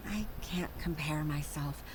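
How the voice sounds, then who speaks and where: A woman's voice: sits low, unhurried, noisy background. A woman voicing a kind, humble middle-aged mother and secretary in an anime fantasy game, respectful and sincere. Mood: shy, confiding. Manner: respectful, sincere, slightly hesitant.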